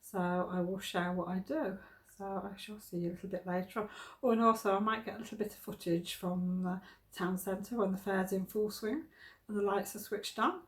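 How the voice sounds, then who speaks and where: A woman's voice: medium pitch, steady tone, fairly quick. Older woman, home vlogger, stuffy cold, chatty and homely. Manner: chatty, homely, plain-spoken. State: congested with a cold. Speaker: vlogger, craft shop owner. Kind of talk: casual chat to camera. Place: home kitchen, indoors.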